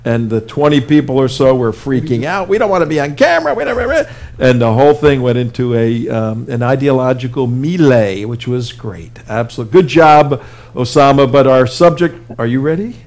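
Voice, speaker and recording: A man hosting a live show, chatting informally and haltingly as he runs a casual interview. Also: medium pitch, expressive, a little mellow. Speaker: talk show host.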